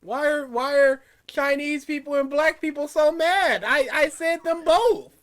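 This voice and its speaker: A man's voice: extremely high pitch, measured pace, fairly bright tone. A man co-hosting a casual podcast, firing off incredulous rhetorical questions. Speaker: podcast co-host.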